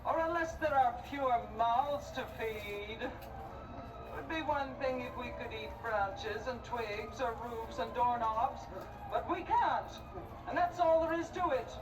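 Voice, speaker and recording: A woman's voice: fairly high pitch, takes it slowly, noisy background. A woman playing a mean, complaining woodcutter's wife in a stage play, nagging and repeating herself. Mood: bitter, nagging. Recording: distant stage recording with music.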